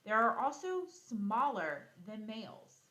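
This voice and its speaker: A woman teacher giving a read-aloud lesson to young children, instructive and animated, asking questions and then answering them herself. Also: a little high, a little slow, roomy sound. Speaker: elementary school teacher.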